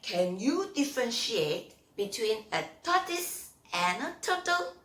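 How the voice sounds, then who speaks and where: A woman reading aloud and teaching as a children's storyteller, phrasing carefully and deliberately in short chunks. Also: fairly high pitch, sing-song, fairly bright tone.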